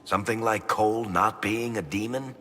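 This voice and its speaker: A man's voice: on the low side, moderate pace, pushed and bright, big-room sound, a little hiss or hum. An actor playing a wry, down-to-earth male dwarf who talks in a dry, sardonic way.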